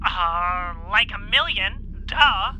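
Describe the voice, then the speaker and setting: A man's voice: high voice, lively intonation, extremely slow, sharp tone, a little hiss or hum, full character voice, low-quality recording. A man playing a nerdy, self-important young shop clerk in an audio drama, snarky and petulant. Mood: suspicious, snarky.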